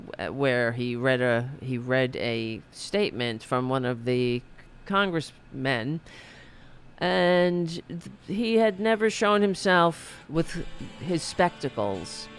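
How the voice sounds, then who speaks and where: A man hosts a podcast and rants through a political monologue with growing heat. He speaks slowly, in a smooth, clear voice. He often stops and restarts his sentences. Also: medium pitch, a bit bright. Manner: impassioned, ranting, many restarts. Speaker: podcast host.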